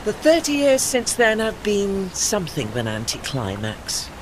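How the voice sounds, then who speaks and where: A posh-mannered sad old sailing warship, wistful and gentle, swoopy intonation, radio drama with engine noise behind. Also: male voice, high voice, wildly swooping pitch, normal speed. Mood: wistful, resigned. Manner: genteel, formal, wistful. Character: refined, melancholy old retired warship with gentle dignity. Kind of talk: audio play acting.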